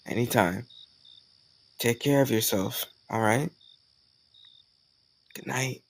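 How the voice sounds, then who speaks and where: A man acting a warm, teasing young suitor in a romantic audio roleplay, talking casually and intimately to the listener. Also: medium pitch, slow pace, gravelly, slight background noise. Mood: tender, reassuring. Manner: casual, gently teasing, conversational with soft chuckles.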